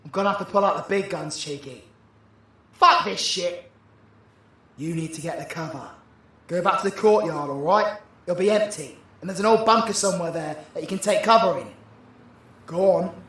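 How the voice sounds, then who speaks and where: A man's voice: high-pitched, moderate pace, pauses often, pushed and bright, slightly rough, exaggerated voice. A British man playing a cocky, foul-mouthed supervillain with a slangy, irreverent swagger in an audio drama. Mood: urgent. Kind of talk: villain audio roleplay acting.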